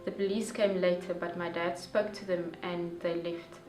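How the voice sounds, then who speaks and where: A young woman reading a first-person story aloud in a careful, measured way. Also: on the low side, flat delivery. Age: young adult. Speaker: youth reader. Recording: distant echoey room with soft music.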